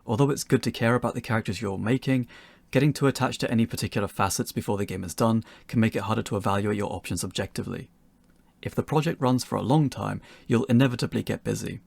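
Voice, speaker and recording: Man, scripted tutorial narration, low pitch, close dry sound, articulate, wry wording. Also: fast, vocal fry, darkish tone, no room sound. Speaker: game developer giving a tutorial.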